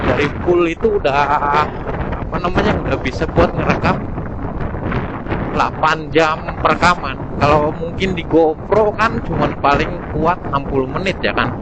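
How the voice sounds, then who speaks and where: A man's voice: fairly high pitch, moderate pace. Man rambling into a noisy helmet mic. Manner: informal, slangy, rambling. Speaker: motorcycle vlogger. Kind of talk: casual motovlog chat, product review. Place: outdoors, riding a motorcycle. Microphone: intercom camera mic inside full-face helmet. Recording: windy, noisy helmet-mic recording on motorbike.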